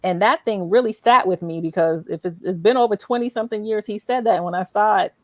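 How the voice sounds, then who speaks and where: A middle-aged woman hosting a conversational interview in an animated, encouraging and emphatic way. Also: mid-range voice, fast talker, dry recording. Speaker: show host.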